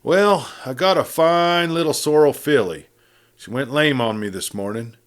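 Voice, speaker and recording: A man voicing a gruff, dry-spoken Old West sheriff with a growl and blunt cowboy talk. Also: mid-range voice, measured pace, voice pushed up, lightly acted. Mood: easing, companionable.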